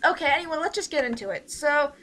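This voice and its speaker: A woman's voice: sits high, lively intonation, fast, crowd noise. A woman hosting a casual livestream over a voice call, informal and rambling, with a bossy streak. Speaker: host and leader of an amateur radio play group. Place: online voice call.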